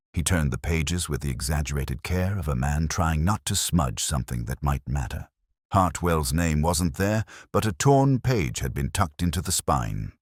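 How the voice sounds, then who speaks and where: Male narrator for detective fiction: extremely low voice, lively intonation, dry close sound, brooding and measured. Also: slightly rough, no room sound. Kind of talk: first-person scripted detective story narration.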